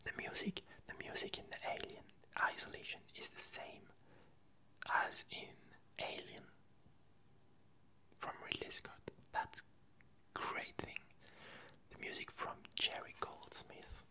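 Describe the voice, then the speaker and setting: Slow, hushed ASMR whisper. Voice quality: soft breathy whisper, almost entirely unvoiced. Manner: halting, unhurried, searching for words. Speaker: ASMR video creator. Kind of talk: whispered ASMR ramble about games and films.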